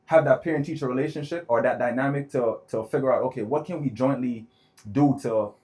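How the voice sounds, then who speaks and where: A man's voice: mid-range voice, a little mellow. A man, a podcast guest telling his own life story in an earnest, reflective way. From born in Florida, grew up in Jamaica. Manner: reflective, earnest, builds points slowly. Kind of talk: personal storytelling in conversation.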